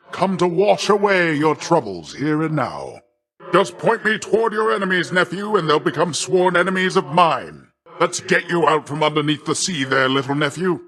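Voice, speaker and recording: An actor playing a boastful, middle-aged sea god in a video game, a hearty and doting uncle with a grandiose, jovial, theatrical delivery. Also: male voice, a little high, a bit bright. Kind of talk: video game character voice lines.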